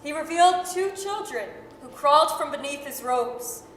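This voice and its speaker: A woman's voice: high voice, animated, moderate pace, a bit bright. A woman playing a grave storyteller, narrating a staged 1930s radio-style drama. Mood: grave, descriptive. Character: grave storyteller narrating a Victorian ghost tale. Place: large theatre hall, staged reading. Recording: echoey hall, faint noise.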